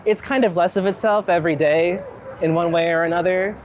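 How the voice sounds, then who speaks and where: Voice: medium pitch, fairly even intonation, brisk. An American from Philadelphia chatting casually in a wry, rambling, thoughtful way while out on a walk. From Philadelphia, United States. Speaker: street photographer being interviewed. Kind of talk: casual chat, walking interview. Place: outdoors on city streets. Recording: outdoor city street noise, traffic. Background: street ambience, passing vehicles.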